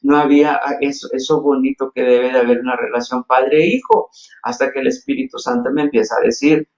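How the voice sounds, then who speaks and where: A man's voice: mid-range voice, fairly even intonation, fairly quick, a little mellow, lo-fi sound. A man from Honduras with a Honduran Spanish accent, preaching a sermon earnestly with frequent restarts and fillers. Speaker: pastor.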